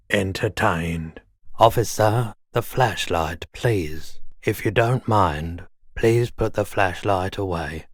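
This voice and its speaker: A man voicing a mild, wry, world-weary pawn shop keeper in a video game, soft-spoken, polite and reflective. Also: fairly low pitch, husky, no echo, clean studio sound, exaggerated voice. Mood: wry, detached, then politely firm. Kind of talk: game character dialogue.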